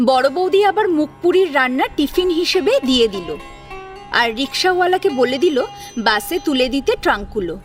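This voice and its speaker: A woman reading a dramatic audio story as its narrator. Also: sits high. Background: background music.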